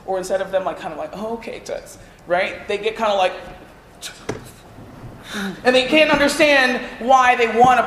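A woman on a podcast panel, speaking in a measured, emphatic way. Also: mid-range voice, slight background noise. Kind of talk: casual panel discussion. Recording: echoey live room, long reverb.

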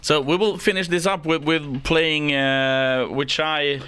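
A man from Sweden hosting a radio interview, friendly and brief, mostly answering with quick affirmations. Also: a little high, steady tone, pushed and bright, a little hiss or hum.

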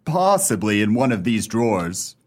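Man playing a pompous old uncle, lively pitch. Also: moderate pace, slight background noise. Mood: awkward, suspicious. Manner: pompous, formal, fussy, faltering. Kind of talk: radio play acting.

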